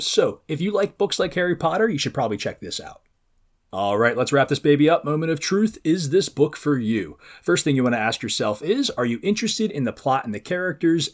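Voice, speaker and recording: Man, mid-pitched voice, highly varied intonation, read-aloud host, expressive, dramatic emphasis, addressing young readers. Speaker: host of a children's book preview show.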